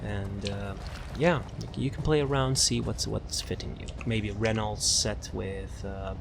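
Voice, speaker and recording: A man's voice: fairly low pitch, fairly even intonation, a little slow, creaky, noisy background. A man giving casual, off-the-cuff video game commentary as he presents a build guide, rambling and repeating his phrases. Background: music.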